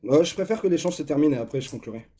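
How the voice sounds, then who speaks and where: A man joins a live online debate with a husky, mellow voice. He speaks hesitantly, with a dry, wry edge. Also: on the high side, expressive, very fast. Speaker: debate participant.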